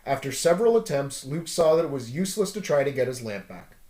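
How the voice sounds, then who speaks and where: A man reading a story aloud as narrator, in an animated storytelling style. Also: mid-range voice, fast talker, echoey room.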